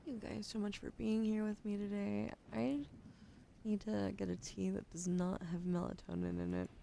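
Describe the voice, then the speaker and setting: A young woman streaming ASMR, drowsy and soft-spoken, slipping into whispers as she chats. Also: medium pitch, expressive, normal speed, gravelly, a little hiss or hum. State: sleepy. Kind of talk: sleepy ASMR stream chat.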